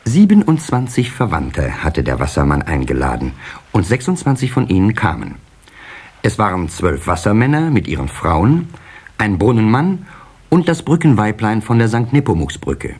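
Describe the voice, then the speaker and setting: A man narrating a children's fairy tale as a measured, expressive storyteller in an audio play. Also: fairly low pitch, slightly rough, exaggerated voice. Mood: storytelling.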